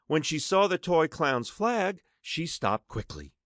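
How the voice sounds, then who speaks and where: A man's voice: on the high side, very animated, slow, a bit bright, no room sound, clean studio sound. A man narrating a children's story expressively, with dramatic emphasis as an audiobook narrator.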